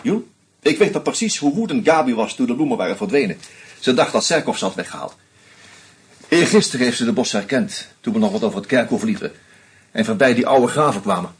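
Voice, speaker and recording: An actor in a radio play voicing a shrewd, sardonic male investigator who lays out his case deliberately. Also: mid-range voice, lively intonation, breathy edge. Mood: probing. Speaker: detective confronting a killer. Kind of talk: radio drama acting.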